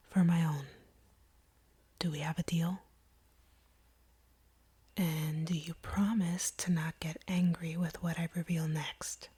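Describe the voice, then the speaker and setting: A woman playing a guarded, wounded vampire in an intimate audio roleplay, speaking softly and haltingly in a breathy near-whisper. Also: low voice, exaggerated voice. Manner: slow, hesitant, halting delivery. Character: guarded, wounded female vampire confessing feelings.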